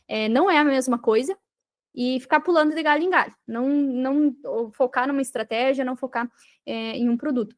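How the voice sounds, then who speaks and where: A Brazilian woman explaining and advising in a conversational interview, emphatic and repeating phrases for stress. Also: sits high. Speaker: podcast guest, digital marketing mentor.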